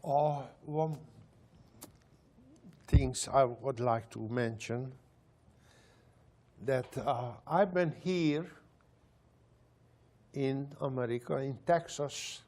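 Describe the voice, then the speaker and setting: An older man from Hungary with a Hungarian accent, telling stories in halting, broken English and laughing often. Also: medium pitch, darkish tone. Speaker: panelist. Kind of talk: casual storytelling.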